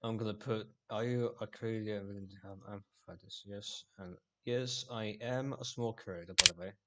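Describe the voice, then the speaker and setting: A man from Finland livestreaming casually, rambling with halting restarts. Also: lowish voice, fairly even intonation, very noisy.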